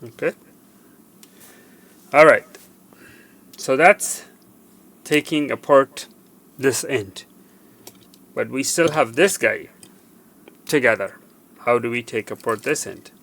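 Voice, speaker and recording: A man presenting a hands-on repair tutorial, explaining step by step in a halting way and repeating himself. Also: medium pitch, a bit bright. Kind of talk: hands-on how-to demonstration. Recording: faint background noise.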